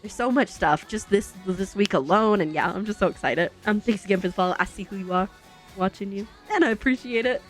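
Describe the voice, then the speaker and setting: A woman's voice: mid-range voice, brisk, faint music behind. A young adult woman streaming a game, chatting in an upbeat, giggly way and a little congested. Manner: chatty, upbeat, giggly, talks to herself while building. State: slightly congested. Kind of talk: casual chat while playing a game.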